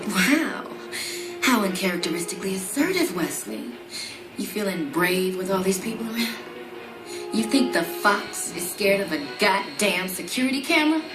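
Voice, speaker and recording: A woman acts out a streetwise, taunting assassin for a motion comic. She is sassy, foul-mouthed and commanding at a medium pitch. The sound is roomy, with music underneath. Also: very animated, normal speed, close to an everyday voice. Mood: menacing, sarcastic.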